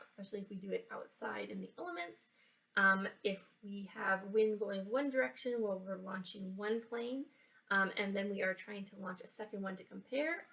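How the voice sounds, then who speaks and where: A woman giving a casual how-to science and craft demonstration for children, explaining in a halting way and checking in as she goes. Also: medium pitch, vocal fry, a bit bright, recorded from a distance, poor audio. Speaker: library children's program presenter. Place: indoors, in a library building.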